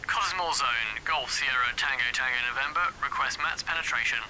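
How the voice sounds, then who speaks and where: A man playing a calm civilian pilot making clipped, businesslike radio calls in a training demonstration. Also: medium pitch, a little fast. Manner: clipped, businesslike, matter-of-fact. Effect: aircraft radio transmission. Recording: hissy, noisy, harsh radio link.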